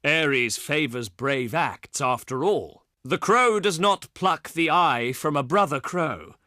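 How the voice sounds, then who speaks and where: An actor playing a proud, shrewd ancient Greek diplomat in a strategy video game, delivering formal, grandiose oratory in a pompous, declamatory manner. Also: male voice, on the high side, expressive, takes it slowly, sharp tone, dry recording, studio quality, exaggerated voice. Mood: haughty, imperious.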